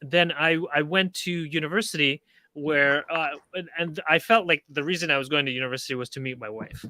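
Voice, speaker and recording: A man from Canada hosting a discussion group, telling casual personal anecdotes in halting, hesitant phrases. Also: fairly high pitch, bright, forceful tone, no room sound.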